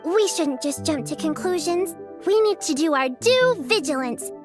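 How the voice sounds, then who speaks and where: A woman's voice: extremely high pitch, expressive, normal speed, music in the background. A woman playing a bossy, self-important young girl in a video game, skeptical and trying to sound formal. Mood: earnest, self-important. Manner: pretend-formal, stumbles over big words. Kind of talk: animated game dialogue.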